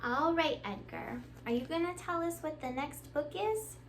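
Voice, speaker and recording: High-pitched woman reading to young children. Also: moderate pace, a little hiss or hum. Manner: expressive, addressing young children with simple questions and praise. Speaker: children's librarian, storytime host. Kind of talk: children's storytime read-aloud.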